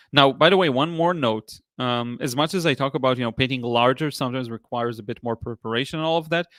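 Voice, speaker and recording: Man, Israeli accent, 30s, relaxed livestream host, halting with fillers, dry sound. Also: mid-range voice, no room sound. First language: Hebrew. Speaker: watercolor artist and livestream host. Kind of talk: casual livestream chat reading comments.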